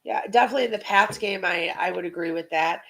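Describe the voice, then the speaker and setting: A woman's voice: fairly low pitch, quick pace, fairly bright tone, poor audio. A woman hosting a casual football preview podcast, chatting and asking questions, with a slightly congested voice. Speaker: sports podcast host.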